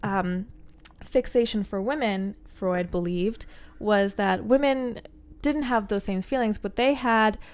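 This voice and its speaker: A woman guest in a casual chat, explaining psychology with many pauses as she searches for words. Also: medium pitch.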